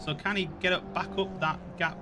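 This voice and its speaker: A man with a Yorkshire accent commentating an online race, jokey and quick to burst into excited shouts when cars crash. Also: sits high, over loud music. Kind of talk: sim racing commentary.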